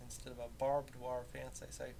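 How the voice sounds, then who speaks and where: A man with a softened Southern Appalachian accent, talking casually and off the cuff in a halting, folksy way. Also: monotone, gravelly. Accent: Southern Appalachian American, somewhat softened. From rural Southern United States, southern Appalachia. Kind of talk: casual unscripted personal talk. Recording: rumbly home recording with background noise.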